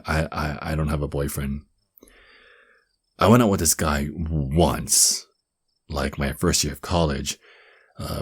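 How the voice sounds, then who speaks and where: A young man acting a shy, flustered guy in love with his best friend in an ASMR roleplay, speaking softly and hesitantly with stammers. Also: very deep voice, full character voice. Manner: hesitant, stammering, breathy sighs and chuckles. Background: crickets chirping.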